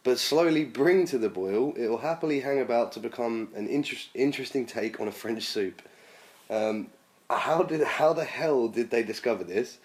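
A man with a husky voice from a sore throat, hosting a casual chat and reading out listener questions with a joking tone. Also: mid-range voice, moderate pace, darkish tone. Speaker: podcast host.

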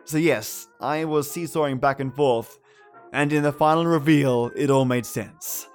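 A man's voice: on the high side, a little slow, smooth, faint music behind. A man hosting a podcast, giving chatty, matey commentary to his listeners. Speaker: podcast host.

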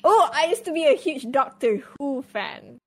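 A woman's voice: high-pitched, expressive, moderate pace, a bit bright. A young adult woman, a guest on a live stream, chatting casually and swearing as she tells a story.

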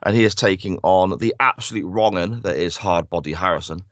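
A man hosting a casual podcast, a bit croaky and bunged up, chatty and quick to laugh. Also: low voice, no room sound, highs cut off. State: croaky, congested. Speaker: podcast host. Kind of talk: casual chat.

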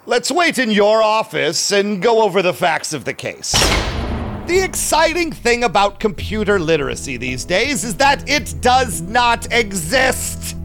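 A slick shady vampire businessman in an audio drama, bright animated voice, condescending and confiding. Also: high voice, full character voice. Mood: smug, confiding. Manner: theatrical, unctuous, confiding. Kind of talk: audio drama acting with narrated asides.

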